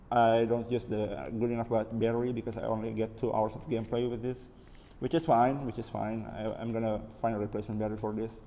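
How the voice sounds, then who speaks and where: A man's voice: medium pitch, a little mellow. A man with an Indonesian accent giving a casual, unscripted hands-on overview as a hobbyist maker. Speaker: maker presenting his DIY electronics build. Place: indoors at a wooden table, hands-only camera view. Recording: reverberant room with background noise.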